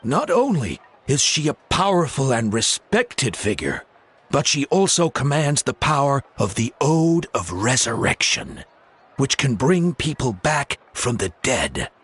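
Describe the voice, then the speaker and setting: A man playing a genial, theatrical storyteller in a video game, speaking in a measured, dramatic way with deliberate pauses. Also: mid-range voice, big pitch swings, a bit bright, a little hiss or hum. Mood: dramatic, reverent. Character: genial male storyteller, theatrical, fond of children.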